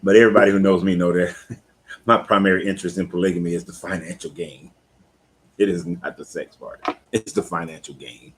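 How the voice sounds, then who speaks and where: A very low-pitched man in his fifties talks in a relaxed, candid way, like a coach chatting with his audience on a live stream. His delivery is loose and conversational, and he stops now and then to read out viewer comments. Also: fairly quick, slight background noise. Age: 50s. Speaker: life coach hosting a live talk. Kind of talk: casual live-stream chat.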